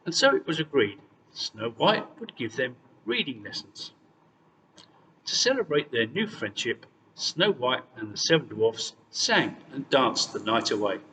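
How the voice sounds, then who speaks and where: A man reading a fairy tale aloud as scripted, expressive narration. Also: medium pitch, unhurried. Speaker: storyteller. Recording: distant, reverberant hall sound with noise.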